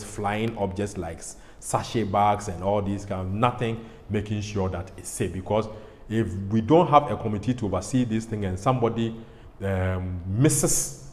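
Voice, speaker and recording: Deep-voiced Ghanaian man in an echoey room. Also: a little mellow. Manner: emphatic, repeats phrases. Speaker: pilot host. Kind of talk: explanatory educational presentation. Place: indoor studio. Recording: echoey hall-like studio, faint noise.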